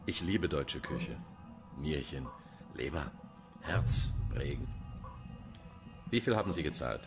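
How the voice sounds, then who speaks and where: A deep-voiced, sardonic pathologist. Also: male voice, lively intonation, slow pace, heavily acted. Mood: dryly macabre. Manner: dry, pedantic, sardonic. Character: sardonic, macabre male forensic pathologist lecturing on organs. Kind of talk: German radio crime drama acting. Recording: music behind the voice.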